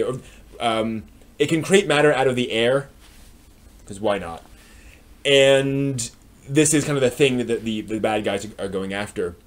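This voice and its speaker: A man's voice: mid-range voice, darkish tone. A man giving a casual, unscripted review as a live stream host, explaining things with wry asides.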